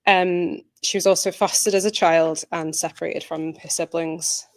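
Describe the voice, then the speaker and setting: Woman, lowish voice, very flat intonation, informal presenter, earnest, halting delivery. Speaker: audio drama producer presenting.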